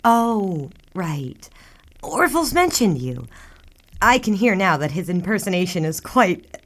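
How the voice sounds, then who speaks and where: A chatty 1920s newspaper office worker in a radio drama. She is polite and halting on the telephone, with a very lively, swooping intonation. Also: lowish voice, exaggerated voice. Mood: friendly, slightly flustered. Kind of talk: radio drama phone conversation.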